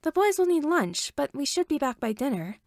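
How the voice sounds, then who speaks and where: A woman voicing a kind, gently reassuring mother in a story reading. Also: sits high, big pitch swings, a little fast, dry recording, clean studio sound, pitched above the performer's own voice. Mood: friendly. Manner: kindly.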